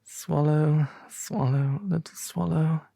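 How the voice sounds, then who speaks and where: A man voicing a sorrowful, compassionate prince statue in a storybook, soft and breathy, gently pleading. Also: fairly high pitch, fairly even intonation, takes it slowly, dry recording, studio quality, full character voice.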